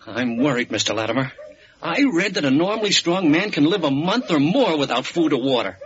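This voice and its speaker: An actor playing a slight, nervous secretary to a crook in a 1940s radio serial. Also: male voice, on the high side, big pitch swings, a little fast. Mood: worried. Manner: nervous, fretful. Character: slight, bald male secretary to a crook, anxious. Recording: dull old radio recording.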